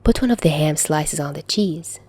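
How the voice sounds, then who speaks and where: Woman voicing a dry, low-toned teenage boy. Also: lively intonation, normal speed, a little mellow, breathy edge. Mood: matter-of-fact. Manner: skeptical, matter-of-fact. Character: teenage boy, dry, fond, teasing friend.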